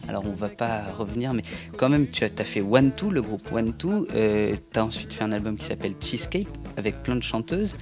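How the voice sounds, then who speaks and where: A man hosting a casual interview, asking long rambling questions and praising the album effusively. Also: mid-range voice. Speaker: interviewer and host.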